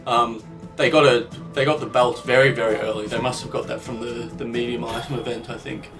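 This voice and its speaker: A man's voice: medium pitch, fairly even intonation, brisk, distant mic, over music. A man with a slight cold calling his gameplay. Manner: self-deprecating, thinking aloud about strategy. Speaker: gaming channel host. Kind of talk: gameplay commentary.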